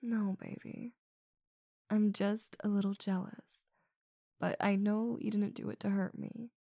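A woman playing a possessive but affectionate girlfriend in an intimate roleplay audio, with a breathy, drawn-out delivery. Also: mid-range voice, warm tone, no room sound, studio quality, exaggerated voice.

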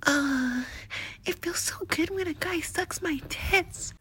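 A woman voicing a doting, flirtatious nurse in a whispered roleplay, with a soft, breathy, slow and coaxing delivery. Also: extremely high pitch, heavily acted. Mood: whispery, doting. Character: doting, flirtatious female nurse, motherly and teasing.

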